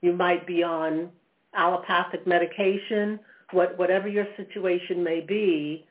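A woman co-hosting a health talk radio show, giving measured, careful advice. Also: lowish voice, steady tone. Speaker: co-host. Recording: phone-line audio.

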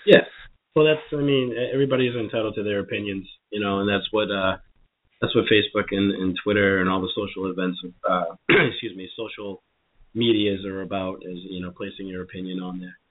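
A man co-hosting a chat show, hoarse and sniffling from a cold, talking casually. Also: fairly low pitch, fairly even intonation, brisk. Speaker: co-host. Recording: tinny phone-line sound.

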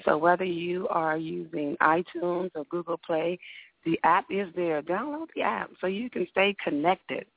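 Woman, raspy, gravelly, radio talk host, upbeat, repeats words, dry phone-like sound. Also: on the low side, measured pace, no echo. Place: radio studio.

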